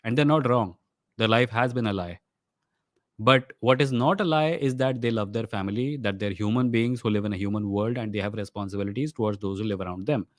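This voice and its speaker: A young man with an Indian accent, his first language Odia, hosts a live Q&A and rattles through viewer questions at a rapid clip. His speech is conversational and mixes Hindi phrases into English. The sound is dry, with no room echo. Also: fairly low pitch. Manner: quick, conversational, slips into Hindi mid-sentence. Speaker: host of a live stream. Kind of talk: livestream Q&A, reading viewer questions aloud.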